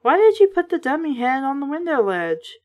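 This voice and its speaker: Woman reading as an indignant storybook girl, fairly high-voiced. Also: very animated, moderate pace, narrow, tinny audio. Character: indignant young girl narrating a children's spooky storybook.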